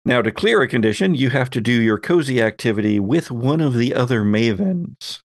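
A man runs a tabletop role-playing game. He narrates off the cuff in descriptive detail, in a mid-pitched voice. The sound is close and dry. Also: expressive, moderate pace, no echo. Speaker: game master, host.

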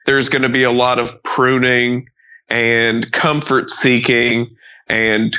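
Thirtysomething guy hosting a podcast, bright forceful voice, swears a lot, really into it. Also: medium pitch, takes it slowly. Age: 30s. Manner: profane, animated, emphatic. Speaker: podcast host. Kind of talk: casual conversation.

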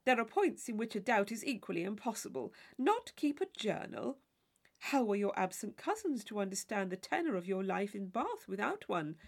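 Audiobook role: woman as witty young gentleman, playfully affected, mock-formal, lively swooping delivery. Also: medium pitch. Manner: arch, fluent, mock-formal. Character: witty, teasing gentleman in his mid-twenties, playfully affected. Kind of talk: classic novel audiobook character voice.

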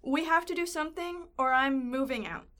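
A woman's voice: high-pitched, a little fast, fairly bright tone. A woman playing an alarmed, stubborn girl in a haunted house, emphatic and insistent, in a student audio drama. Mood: fed up. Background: faint music.

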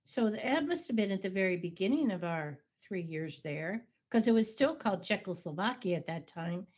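An older woman co-hosts a casual podcast, chiming in with dry, wry asides. Her voice is slightly husky and she clears her throat often. The recording sounds a little dull. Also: mid-range voice, expressive, moderate pace, a little mellow. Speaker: podcast co-host. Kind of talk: casual chat.

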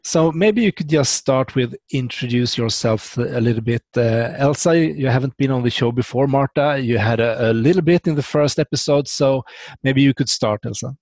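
A man's voice: medium pitch, normal speed, a little mellow, no echo. Male podcast host, dry close sound. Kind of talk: interview, casual chat.

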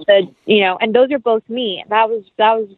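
A woman's voice: fairly high pitch, moderate pace, phone-quality sound. A woman chatting casually and informally as an actress being interviewed, rambling as she explains. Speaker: interview guest, film actress.

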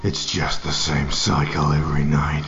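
A rock-bottom, gravel-throated growl from a grim, grieving vigilante in an audio drama. He speaks in terse, menacing, brooding phrases. The sound is muffled, with music clearly behind him. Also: rumbling low, little pitch movement, normal speed. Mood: cold rage. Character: grim, grief-stricken, enraged masked vigilante man.

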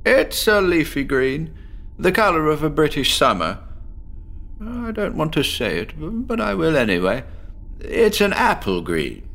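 A man's voice: fairly high pitch, very animated, unhurried, creaky, fairly bright tone, exaggerated voice. An actor playing a chatty, nervously jovial male patient with an apple obsession, telling his story theatrically in a horror audio drama. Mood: uneasy. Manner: theatrical, rambling, with nervous chuckles.

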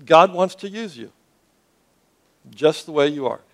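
Male pastor, grew up in Cuba, earnest sermon delivery, slightly high voice, asks the room questions. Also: animated, a little slow. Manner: earnest, repeats and restarts words, asks the audience questions.

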